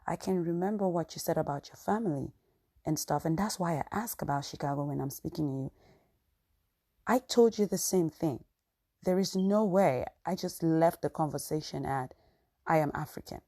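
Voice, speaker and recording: A woman's voice: deep voice, normal speed, mellow. A woman with a Cameroonian accent telling a personal story to her viewers in an earnest, chatty way. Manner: earnest, conversational, frequent "you know" asides. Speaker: vlogger.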